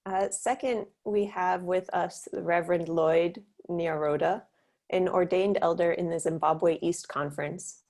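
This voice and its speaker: A woman's voice: fairly low pitch, gravelly, a little mellow. A woman moderating a webinar, formally introducing the next speaker.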